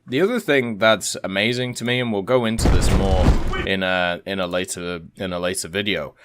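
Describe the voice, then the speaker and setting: Low, smooth-voiced man. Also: a bit bright. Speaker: gaming video host. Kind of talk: casual gaming commentary.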